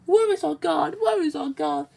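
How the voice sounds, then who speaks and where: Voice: very high-pitched, moderate pace, gravelly, a little mellow, voice pushed up, full character voice. A young-sounding reader voicing sweet, worried old female railway coaches from a storybook in a plaintive, repetitive sing-song. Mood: sobbing, worried.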